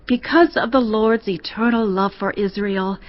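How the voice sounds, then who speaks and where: A woman's voice: medium pitch, measured pace, muffled recording, natural voice. A woman playing an admiring, reverent visiting queen in a dramatised audio Bible, speaking in a stately, declamatory way. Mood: awed, reverent.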